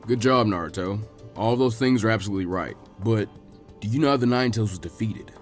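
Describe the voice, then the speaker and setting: A man acts out an adult male teacher of young ninjas in a story, pitched well down. He is laid-back and dry. Beneath the gruffness he is caring and protective. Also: a little fast, faint music behind, natural voice. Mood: calm, prompting. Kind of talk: character voice in fan fiction reading.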